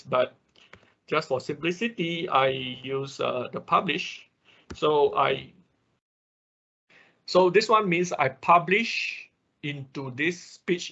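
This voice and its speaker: A man's voice: medium pitch, creaky, pushed and bright. A man who is a non-native English speaker, teaching a live online programming tutorial step by step and often checking in with okay and right. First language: not English. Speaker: instructor of an online robotics programming class. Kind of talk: live tutorial with screen demonstration. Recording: dull, lo-fi online class audio.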